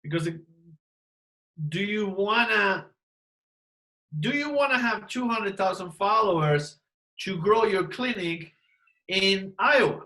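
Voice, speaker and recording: A man's voice: sits high, lively intonation, takes it slowly, long pauses, pushed and bright, low-quality recording. A man, a dentist who teaches courses, giving advice on a panel, emphatic and asking rhetorical questions while repeating words as he goes.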